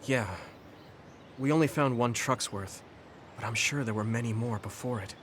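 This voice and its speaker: An actor playing a polite, caring young soldier in a video game, speaking gently and earnestly. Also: male voice, medium pitch, moderate pace, a little hiss or hum, natural voice. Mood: somber. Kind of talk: English video game voice acting. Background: soft background music.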